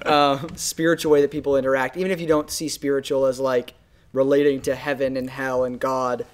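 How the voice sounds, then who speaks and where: A man's voice: mid-range voice, level tone, fairly quick, smooth. A young adult man in a casual podcast discussion, picked up on a shared microphone indoors. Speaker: podcast host. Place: indoor room, seated group. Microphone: shared desktop microphone.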